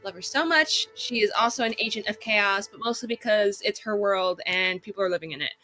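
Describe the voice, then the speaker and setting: A woman's voice: medium pitch, a little fast, sharp tone, faint music behind, poor audio. A woman playing a tabletop game, chatting casually and playfully in a slightly frazzled way. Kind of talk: casual chat, introducing her character.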